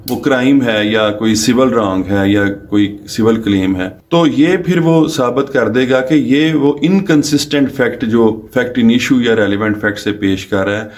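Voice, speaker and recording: A man with a Pakistani accent speaking as a lawyer teaching a lesson, explaining with examples, restating his points and mixing in English legal terms. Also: medium pitch. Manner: didactic, rephrases and repeats points. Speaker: lawyer giving a law lesson.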